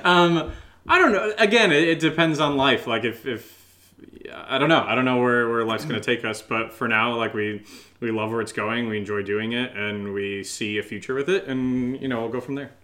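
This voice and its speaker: A man's voice: mid-range voice, moderate pace, sharp tone. A man co-hosting a podcast, chatting casually and unscripted as he answers listener questions, thinking aloud with lots of "like". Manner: informal, thinking aloud with frequent "like". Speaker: podcast co-host and creator.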